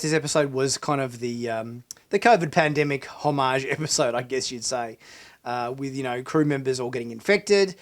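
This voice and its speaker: A hoarse man hosting a sci-fi channel, giving a casual spoken TV review with lots of fillers and restarts. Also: a little high. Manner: chatty, hesitant with frequent fillers and restarts. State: hoarse from illness.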